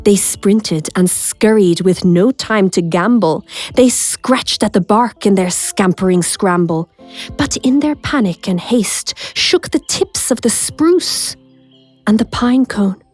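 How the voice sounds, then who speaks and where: A woman reading a rhyming children's picture book aloud as a storyteller, with a dramatic, deliberate delivery. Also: mid-range voice, animated, darkish tone. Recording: music behind the voice. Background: music.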